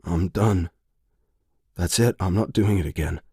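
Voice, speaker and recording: A man's voice: deep voice, measured pace, darkish tone, husky, no echo, clean studio sound, full character voice. A man voicing a weary, guilt-ridden hitman who mutters through phlegm in a dramatic audio story. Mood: defeated. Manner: muted, defeated muttering. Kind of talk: scripted short-story character voice.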